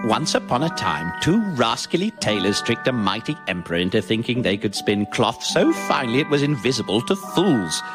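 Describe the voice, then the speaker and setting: An actor playing an earnest storybook narrator telling a fairy tale in radio sketch comedy. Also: male voice, mid-range voice, very animated, moderate pace, hardly any pauses, lightly acted. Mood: earnest, storytelling. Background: clear music.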